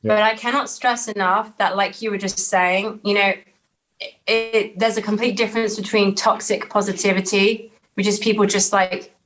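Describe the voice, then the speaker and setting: A woman's voice: mid-range voice, fairly even intonation, normal speed, a bit bright, rough recording. A woman, speaking earnestly and reflectively as a podcast guest and repeating phrases for emphasis. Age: 30s. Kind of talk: candid personal interview.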